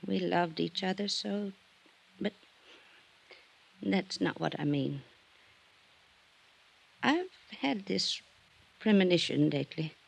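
A naive, aging widow of high society in a vintage radio mystery. She speaks slowly and theatrically, with creak in the voice, girlish gaiety worn thin by wistfulness. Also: lively intonation, heavily acted. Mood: wistful, fearful. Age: older. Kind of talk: 1950s radio drama acting.

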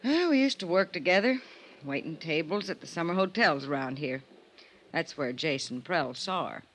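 An actress playing a resentful, folksy spinster housekeeper with clipped, sardonic talk in an old-time radio drama. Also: female voice, sits low, animated, moderate pace, a bit bright, a little hiss or hum, low-quality recording. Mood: bitter, resentful.

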